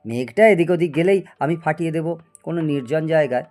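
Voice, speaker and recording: A man's voice: fairly high pitch, a little fast, a little mellow, soft background music, natural voice. A man acting a proud, obsessive middle-aged scientist in a Bengali audio story, theatrical and prone to stammer when agitated. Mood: boastful, assured. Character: proud obsessive male scientist, boastful and stubborn.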